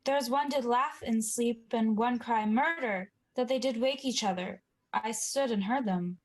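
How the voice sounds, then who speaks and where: A woman's voice: fairly high pitch, measured pace. A woman playing a wavering, guilt-ridden nobleman in a 1940s-style radio play, with earnest, troubled delivery. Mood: haunted.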